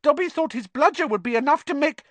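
A reader voicing a pitiful, servile male house elf who talks in a squeaky, fretful, pleading way. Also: expressive, quick pace, fairly bright tone, no room sound. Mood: anxious servile.

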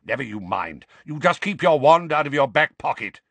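A man voicing a gruff, paranoid grizzled old wizard who growls irritably in an audiobook. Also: mid-range voice, big pitch swings, normal speed, bright, forceful tone, dry recording, pitched above the performer's own voice, heavily acted. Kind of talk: audiobook character voice.

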